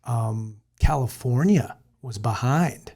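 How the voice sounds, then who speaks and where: This is an American man hosting a podcast interview. He talks in a friendly, easygoing way and often jokes. His voice sits at an ordinary middle pitch. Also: slow pace, warm tone. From United States. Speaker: podcast host. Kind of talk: casual interview chat.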